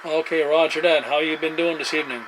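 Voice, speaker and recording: A man chats casually in a halting, laid-back way, using CB radio jargon like a hobbyist at his desk. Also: on the high side, steady tone. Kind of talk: casual radio chat and demo narration. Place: indoor desk beside CB radio sets. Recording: noisy room with radio hiss. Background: radio static and room noise.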